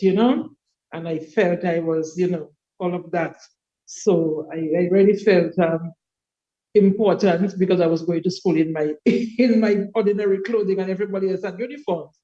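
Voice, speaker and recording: A woman, a podcast guest telling her life story in a reflective, unhurried way. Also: on the low side, dark tone, highs cut off. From Dominica. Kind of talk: personal life story in an interview.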